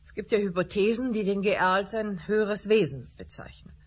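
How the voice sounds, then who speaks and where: A woman's voice: mid-range voice, fairly quick, husky, lightly acted. An actress playing an inquisitive woman interviewer who asks probing questions in a radio drama.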